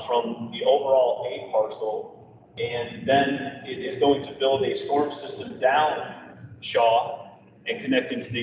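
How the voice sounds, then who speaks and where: A man presenting engineering plans at a public meeting, explaining step by step. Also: mid-range voice. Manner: plain, methodical explaining. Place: large meeting room. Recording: echoey hall, far from mic, dull, background noise.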